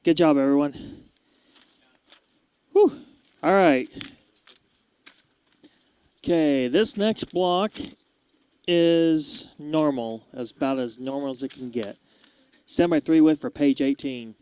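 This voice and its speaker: A woman's voice: low voice, slow, long pauses, dark tone, clean tone, dry recording. A woman working as a newscast director, calling clipped, terse control room cues.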